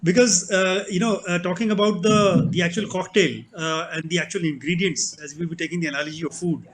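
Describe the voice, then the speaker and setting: A man's voice: high-pitched, fairly even intonation, a little fast, a little hiss or hum, rough recording. A man moderating a panel discussion, affirming the speakers and posing questions.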